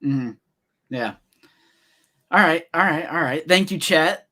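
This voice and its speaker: A man's voice: fairly high pitch, slow, pushed and bright. A man in his twenties hosting a livestream chat, upbeat and addressing the live chat. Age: 20s. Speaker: host.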